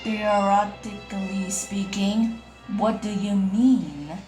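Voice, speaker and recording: A woman's voice: medium pitch, fairly even intonation, slow. A woman gaming streamer giving casual playthrough commentary over a game. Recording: game music behind voice.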